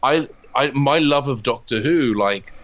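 A man's voice: medium pitch, a little slow, a bit bright, no room sound, dull audio. A man in his thirties from the UK with a British accent, reminiscing casually as an interview guest. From United Kingdom. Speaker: interview guest, comedian and writer.

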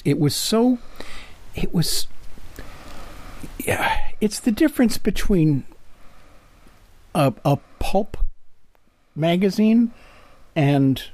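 High-pitched, lively man hosting radio. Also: no echo. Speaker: radio show host. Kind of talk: interview and scripted show announcements.

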